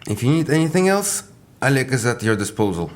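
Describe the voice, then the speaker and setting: An actor playing a brisk, pompous Russian official and jailer in an audio drama, declamatory and commanding. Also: male voice, medium pitch, very animated, lightly acted. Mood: smug, courteous.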